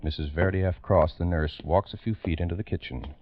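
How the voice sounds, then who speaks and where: A man narrating a true-crime radio drama in the 1940s style, grave, formal and deliberate. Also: low-pitched, slight background noise, natural voice. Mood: grave, measured. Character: grave male narrator of a police case. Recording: muffled vintage radio recording, music behind.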